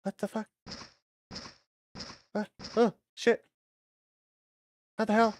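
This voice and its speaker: A woman's voice: medium pitch, level tone, takes it slowly, darkish tone, a little hiss or hum. A woman streaming a video game, commenting casually with a lot of exasperated swearing and exclaiming. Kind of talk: casual live gameplay commentary.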